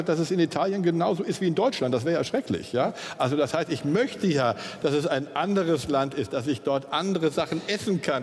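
Panel guest: a man from Hesse with a somewhat high voice who argues emphatically, heard in a hall with long reverb. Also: a little mellow. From Hesse, Germany. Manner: emphatic, rhetorical questions. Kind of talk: panel discussion.

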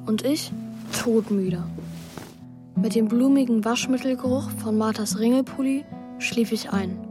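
A woman's voice: fairly high pitch, measured pace, over music, lightly acted. A woman playing an anxious, sceptical young boy who acts and narrates in a children's radio play, sounding hesitant. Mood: reflective narrating. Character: anxious, doubting young boy, narrating his runaway adventure.

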